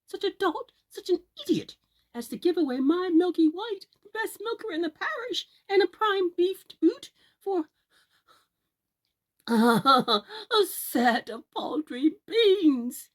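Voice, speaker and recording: A woman voicing an excitable, scolding poor widow in a children's storybook, dramatic and exclaiming. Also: very high-pitched, takes it slowly, pitched above the performer's own voice, exaggerated voice. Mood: outraged.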